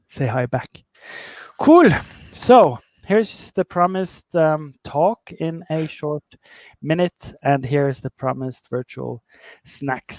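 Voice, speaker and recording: A man hosting an online tech meetup in a relaxed, casual way, often pausing with fillers as he welcomes viewers. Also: mid-range voice, no room sound. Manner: relaxed, hesitant with many fillers. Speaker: meetup host.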